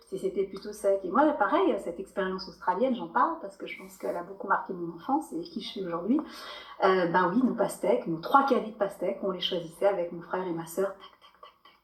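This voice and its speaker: A woman with a French accent, a podcast guest telling her story in a loose, animated way with many fillers and restarts. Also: medium pitch, fast talker. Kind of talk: casual podcast interview. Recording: echoey room.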